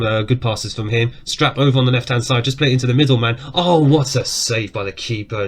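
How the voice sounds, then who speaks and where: A man with a cough giving casual, chatty commentary as he plays a football management game at his desk, reacting aloud to the match. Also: medium pitch. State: has a cough. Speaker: gaming YouTuber.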